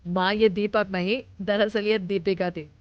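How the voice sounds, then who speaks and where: A woman's voice: medium pitch, steady tone, a little slow, heavy background noise, natural voice. A woman voicing a devoted, affectionate young husband in a cartoon moral story. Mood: explaining. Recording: dull lo-fi sound.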